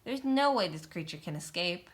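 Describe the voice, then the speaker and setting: Lady doing a pompous greedy mouse professor guy from a kids book, loud showman talk. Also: on the low side, animated, normal speed, a little hiss or hum, lightly acted. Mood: showy, announcing.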